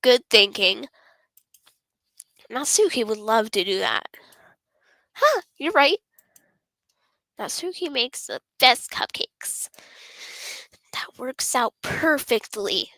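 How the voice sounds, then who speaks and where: A woman doing a bubbly, high-voiced schoolgirl. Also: lively intonation, slow, long pauses, sharp tone, no echo, heavily acted. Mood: bright, eager. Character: bubbly, earnest teenage schoolgirl in a literature club.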